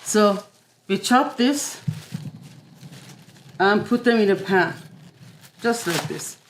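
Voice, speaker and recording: A woman from Iran demonstrating a family recipe in her kitchen, explaining each step with frequent pauses and filler sounds. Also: mid-range voice, animated, soft background music. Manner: unhurried, many filler "uh"s. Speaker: home cook. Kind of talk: cooking demonstration. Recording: echoey room.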